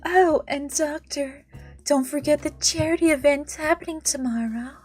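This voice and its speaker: Flustered young mother, visual novel, apologetic and lighter in pitch female reader doing the voice. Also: moderate pace, darkish tone, soft background music, close to an everyday voice. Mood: polite reminder. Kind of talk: visual novel character voice in English.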